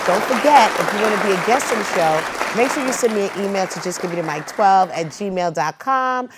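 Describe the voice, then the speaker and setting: A woman's voice: mid-range voice, background noise. A woman hosting a promotional interview show, speaking in a chatty way and addressing people directly. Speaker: TV show host.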